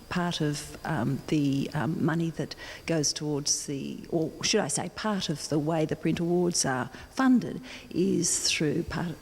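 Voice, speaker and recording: A woman's voice: low-pitched, a little mellow. An older woman with an Australian accent answering interview questions off the cuff, hesitating and repeating words as she finds her phrasing. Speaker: support group president and interviewee. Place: large indoor gallery. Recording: echoey hall with clear background noise.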